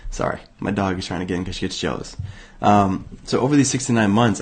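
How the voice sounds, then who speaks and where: A young man from Massachusetts hosting a motivational self-help show, hoarse and raspy from illness, talking casually with many fillers and restarts. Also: on the low side, darkish tone. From Massachusetts, USA. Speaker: podcast host.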